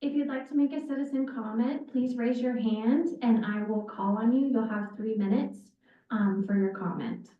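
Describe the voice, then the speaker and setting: A woman's voice: on the high side, moderate pace. A woman on library staff explaining procedures and introducing a candidate in a friendly, organized way. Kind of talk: meeting remarks. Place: council meeting room. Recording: echoey chamber, distant muffled mic.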